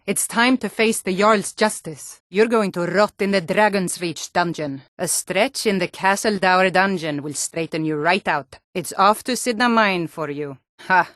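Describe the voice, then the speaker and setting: A woman's voice: on the low side, unhurried, a bit bright, no room sound, studio quality. An actress voicing a tough Nord guard and bandit woman in a fantasy video game, stern, threatening and taunting. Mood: stern, commanding. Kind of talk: video game character voice lines.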